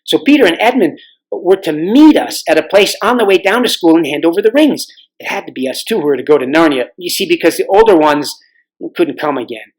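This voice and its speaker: A man's voice: a little high, close to an everyday voice, low-quality recording. Man as an eager, chatty storybook schoolboy.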